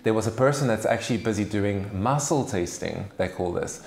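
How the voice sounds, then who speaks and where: A man's voice: fairly low pitch, expressive, a little fast, gravelly, darkish tone. Earnest Afrikaans-speaking man, roomy sound. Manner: earnest, emphatic storytelling. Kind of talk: conversational discussion. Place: small room with sofas, indoors.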